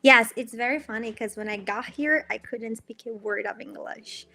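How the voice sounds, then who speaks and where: A woman's voice: fairly high pitch, normal speed, sharp tone, soft background music. A woman in her twenties from Brazil with a Brazilian Portuguese accent, a student guest telling her story cheerfully in a casual interview, with many ums. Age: 20s. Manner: cheerful storyteller with frequent ums and light laughs.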